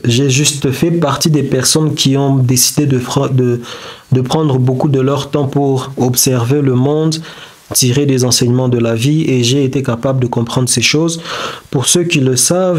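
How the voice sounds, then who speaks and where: A man whose first language is not French gives a reflective motivational talk, halting and searching for words with many restarts. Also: mid-range voice, steady tone, darkish tone. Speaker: self-development video creator. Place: indoors beside a whiteboard diagram.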